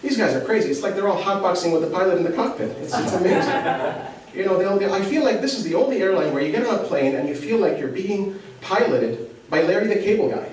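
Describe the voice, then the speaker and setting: An Egyptian-born man doing stand-up with a slightly high voice. He is caught from a distance in a very echoey club. A faint hum of the room sits under him. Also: lively intonation, quick pace, darkish tone. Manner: self-deprecating, conversational, asks the crowd questions. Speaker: stand-up comedian. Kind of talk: stand-up comedy set. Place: comedy club stage. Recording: echoey club from far off with crowd laughter.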